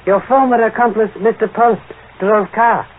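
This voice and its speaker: An actor playing a shrewd, courtly Chinese detective inspector, formal and aphoristic, with clipped grammar, in old radio mystery style. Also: male voice, high voice, fairly even intonation, normal speed, close to an everyday voice. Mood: calm, triumphant. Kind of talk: 1940s radio mystery acting. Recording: tinny, noisy old radio recording.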